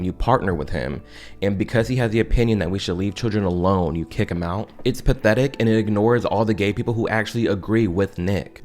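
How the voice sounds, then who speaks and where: A man's voice: low-pitched, darkish tone. A young man giving emphatic, opinionated commentary straight to his viewers. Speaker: video commentator. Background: music.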